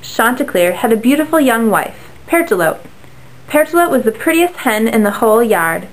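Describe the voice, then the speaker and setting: A woman's voice: fairly high pitch, sing-song, moderate pace, a little hiss or hum, rough recording. A young woman reading storybook narration aloud for a class puppet show.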